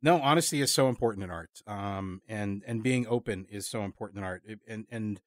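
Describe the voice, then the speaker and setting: A man hosting a casual podcast interview, talking candidly in a halting, reflective way. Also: lowish voice, dry recording, studio quality.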